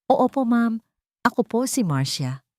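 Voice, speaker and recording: A woman's voice: a little high, sing-song, unhurried, dry recording, studio quality, pitched above the performer's own voice. A woman voicing a humble, anxious young cleaning maid who speaks politely and apologetically in a story. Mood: meek apologetic.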